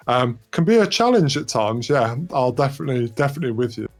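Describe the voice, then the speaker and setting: A man's voice: medium pitch, animated, a little fast, faint music behind. A man talking casually as a guest in an informal panel discussion. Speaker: record label guest.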